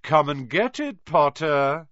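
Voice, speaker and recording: A sneering young bully of a schoolboy, played by a male reader, taunting and mocking in a lively, swinging voice. It is a storybook character, recorded dry and close. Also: fairly high pitch, takes it slowly, dry recording, muffled recording, pitched above the performer's own voice, heavily acted. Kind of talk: children's audiobook character voice.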